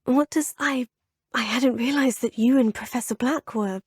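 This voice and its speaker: A proper young British student witch: polite, composed, slightly high, a bit breathy, dry studio read. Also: moderate pace, no room sound. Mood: earnest. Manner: polite, proper and well-spoken. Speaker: player character, student. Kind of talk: game dialogue voice clips. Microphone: close studio mic, dry.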